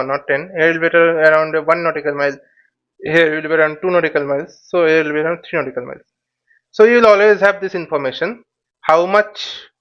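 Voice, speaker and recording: Guy with a pretty high voice explaining stuff step by step, kinda halting, repeats himself, muffled lo-fi mic. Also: a bit bright. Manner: hesitant, repetitive, checks listener follows. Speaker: flight simulator tutorial instructor.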